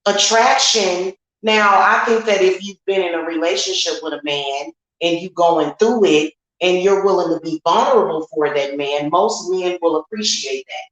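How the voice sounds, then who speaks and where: A woman's voice: on the low side, normal speed, hardly any pauses. An American woman speaking off the cuff to her viewers in a candid, emphatic, colloquial way, repeating her points. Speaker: online witch and spiritual reader. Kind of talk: unscripted vlog monologue to viewers. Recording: very echoey, reverberant room.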